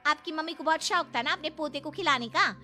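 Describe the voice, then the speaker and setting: A very high, taunting young woman acted out by a female performer in a cartoon melodrama, with music behind. She is brazen and greedy. Each phrase sounds like a calculated bargain. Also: a little fast, sharp tone. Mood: smug, taunting. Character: brazen, greedy young maid turned wife, coldly bargaining. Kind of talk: melodramatic Hindi cartoon voice acting.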